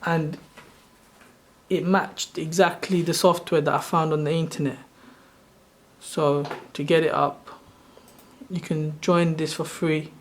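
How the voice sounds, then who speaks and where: A soft-spoken man in his thirties, originally from Sri Lanka, casually walking through his own screen in a loose, rambling way. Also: a little high, little pitch movement, slow, long pauses, slight background noise. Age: 30s. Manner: rambling, loose and self-correcting. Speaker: channel host. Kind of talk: casual screen-share walkthrough.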